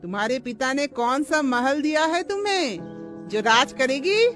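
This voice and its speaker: A woman's voice: sits high, animated, a little slow, a bit bright. A woman playing a harsh, spiteful middle-aged mother-in-law in a Hindi moral-story cartoon, with a scornful, taunting delivery. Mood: bossy, taunting. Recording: music under the voice.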